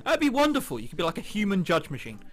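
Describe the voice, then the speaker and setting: A man's voice: sits high, wildly swooping pitch, quick pace, a bit bright. A man hosting a gaming video, reading a visual novel aloud with jokey, casual asides. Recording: music behind the voice. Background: game background music.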